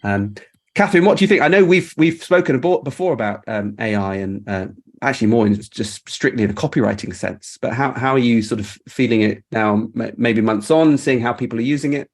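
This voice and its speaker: A male podcast host in a relaxed group conversation, with a mid-range voice. He thinks aloud in a rambling way, circling back and rewording his points as he goes. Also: mid-range voice, fairly quick. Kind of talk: casual group discussion.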